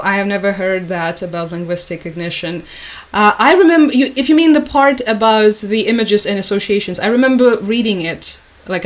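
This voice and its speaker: Chatty young woman. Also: mid-range voice. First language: Russian. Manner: chatty, rambling with frequent fillers and restarts. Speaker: livestream host. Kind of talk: casual livestream chat.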